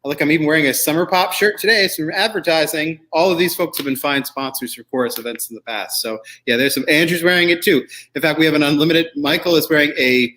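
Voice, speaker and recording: A man's voice: a little high, fast, few pauses, pushed and bright, a little hiss or hum. A man hosting a show in a casual, chatty way, rambling and joking as he goes. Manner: rambling, jokey. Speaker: show host.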